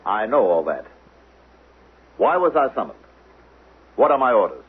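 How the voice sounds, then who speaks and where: Blunt doubtful future agent, lowish husky voice, muffled old radio drama. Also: male voice, sing-song, unhurried, full character voice. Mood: skeptical. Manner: blunt, dry, challenging. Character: skeptical male agent of a future regime. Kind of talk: 1970s radio drama acting. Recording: muffled, narrow-band old radio recording.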